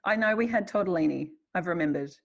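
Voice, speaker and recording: Middle-aged Australian woman, lowish voice, casually wry. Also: lively intonation, gravelly. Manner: wry, self-deprecating, quick to laugh. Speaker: writer in conversation. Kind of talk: casual, candid chat.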